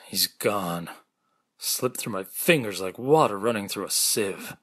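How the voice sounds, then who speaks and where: Guy doing a serious radio drama doctor, lowish voice that goes up and down a lot, sure he's onto something. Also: lively intonation, slow, a bit bright, husky, exaggerated voice. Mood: grave, defeated. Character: earnest, suspicious male hospital doctor sure of his judgment. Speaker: actor in an amateur audio drama. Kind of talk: remake of classic radio mystery acting.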